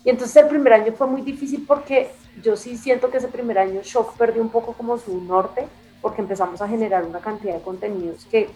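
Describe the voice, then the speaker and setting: Interview guest: woman, Bogotá accent, medium pitch, conversational and emphatic storytelling, faint music bed. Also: a little mellow, rough recording. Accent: Bogotá Colombian. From Bogotá, Colombia. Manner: conversational, emphatic, repeats phrases for stress. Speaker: interview guest, music and culture magazine editor. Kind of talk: casual, reflective interview storytelling.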